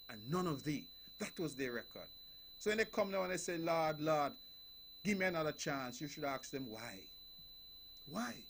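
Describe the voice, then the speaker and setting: A man with a Nevisian accent and a fairly high voice delivers a political address on the radio. He speaks emphatically, repeating himself and building phrases with a preacher's rhythm. A faint hum sits under the recording. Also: fairly bright tone. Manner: emphatic, repetitive, preaching cadence.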